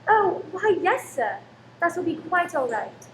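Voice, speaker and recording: Female actor as servile, flustered landlady, high voice, keen to please; echoey room. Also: big pitch swings, measured pace, heavily acted. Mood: obliging. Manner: servile, polite, flustered when rebuked. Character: eager, deferential married landlady, anxious to please. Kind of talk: radio play acting. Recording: roomy echo with faint background noise.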